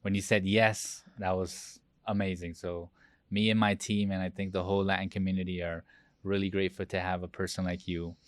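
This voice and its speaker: Flat-toned male podcast host. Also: sits low, brisk, no echo. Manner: warm, admiring, repeats words when starting. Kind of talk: interview hosting.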